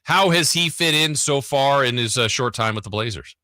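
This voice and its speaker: A man's voice: mid-range voice, animated, moderate pace, bright, forceful tone, dry recording, clean studio sound. A man hosting a sports radio show, putting casual questions to a guest.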